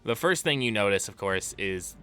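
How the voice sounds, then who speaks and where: A man's voice: lowish voice, animated, moderate pace, sharp tone, faint music behind. A man narrating as game master, loosely describing scenes with many fillers.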